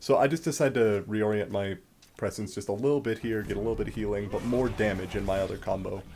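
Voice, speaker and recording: A man's voice: fairly low pitch, steady tone, fast, dark tone, a little hiss or hum. A man giving casual, explanatory gameplay commentary with a sardonic streak and some mild swearing. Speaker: Let's Play host and commentator. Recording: game music behind the voice. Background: video game music.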